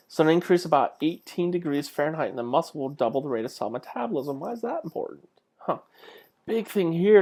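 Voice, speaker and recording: A man's voice: on the high side, darkish tone. A man delivering an informal narrated slide lecture as a college instructor, conversational and emphatic on key points, often posing questions to his students. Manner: conversational, asks rhetorical questions.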